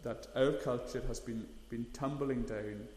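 A man's voice: medium pitch, fairly even intonation, unhurried, a little mellow. A man, preaching a sermon in an earnest, emphatic way, leaning hard on key words. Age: 30s. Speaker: pastor. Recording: echoey hall, long reverb.

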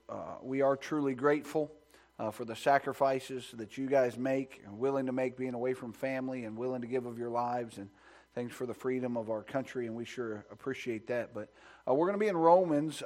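A man pastor preaching a sermon in a church sanctuary, reading scripture and praying, at times hushed and at times rising with fervor. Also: steady tone, moderate pace. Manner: builds to loud, high-pitched preaching fervor.

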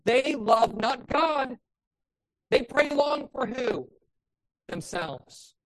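Woman pastor, fairly high voice, loud and impassioned in the pulpit, hits emphatic peaks. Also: lively intonation, extremely slow, low-quality recording. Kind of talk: sermon.

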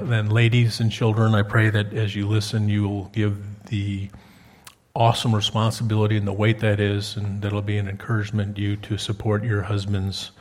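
A man's voice: fairly low pitch, a little mellow. A man preaching a sermon, folksy with joking asides, rising to emphatic peaks on key words.

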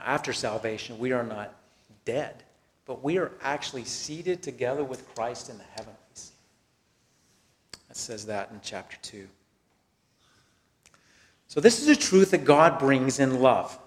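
A man with an American accent preaching a sermon and reading scripture, speaking in deliberate, emphatic phrases that fall away softly at the end. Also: mid-range voice. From Texas, United States.

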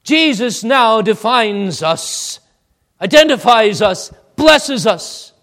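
Slow-speaking male pastor preaching. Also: lively intonation. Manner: swings from soft low asides to loud high exhortation; deliberate, drawn-out preaching delivery. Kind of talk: sermon and closing prayer.

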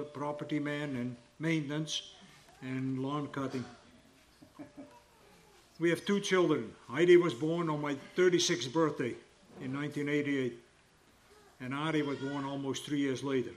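A man around seventy reads a prepared baptism testimony to his church in a steady, plain way. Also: fairly high pitch, low-quality recording.

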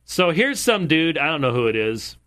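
A man with a rather high, bright and forceful voice comments on clips. He speaks emphatically and hammers his points home by repeating them. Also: big pitch swings, moderate pace.

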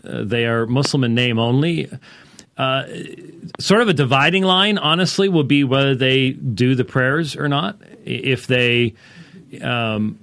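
A man's voice: mid-range voice, a bit bright, slight background noise. Conversational man hosting a call-in show. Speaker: host of a religious call-in podcast. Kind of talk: casual unscripted talk show monologue.

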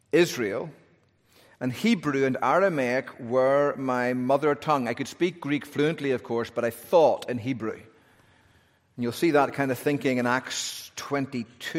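A man with a Northern Irish accent, preaching a sermon in a relaxed, conversational way with humorous asides. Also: mid-range voice. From Northern Ireland. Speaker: pastor. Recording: long hall reverb.

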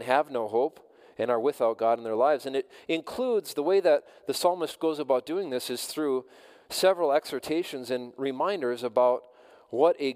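A man delivers a sermon from the pulpit in a mid-range voice, measured and earnest. He circles back and repeats phrases to drive a point home. His words trail off into the long reverb of a church hall. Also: mid-range voice, a little mellow. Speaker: pastor.

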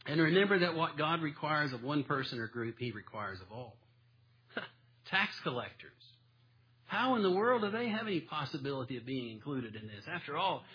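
A man's voice: a little high, breathy edge, muffled recording. A man preaching a sermon in a church, folksy and self-deprecating, with homespun stories and plain illustrations. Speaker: pastor. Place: church sanctuary.

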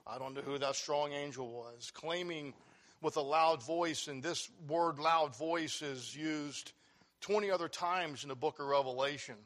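Preacher, male, loud and earnest, teaching scripture, voice sits a bit high. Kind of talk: sermon, Bible teaching.

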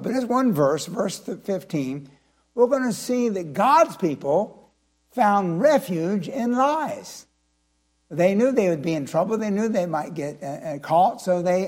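An older man preaching an evening sermon, his voice a little raspy from strain. He talks folksy and chatty, with loose asides, then climbs into emphatic preaching. His pitch sits a touch higher than most men's. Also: a little mellow. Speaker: pastor.

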